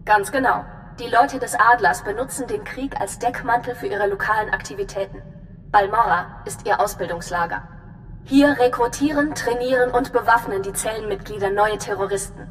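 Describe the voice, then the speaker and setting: An actress playing a composed, businesslike intelligence officer giving a precise, measured briefing in a video game. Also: female voice, close to an everyday voice. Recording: long reverb, faint rumbling noise.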